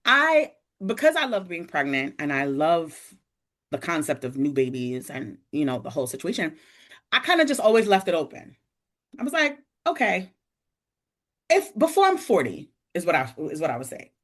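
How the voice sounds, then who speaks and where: Woman in her forties, lowish voice, lively swooping pitch, casual storytelling, acts out quoted voices. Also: moderate pace. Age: 40s. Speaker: podcast guest.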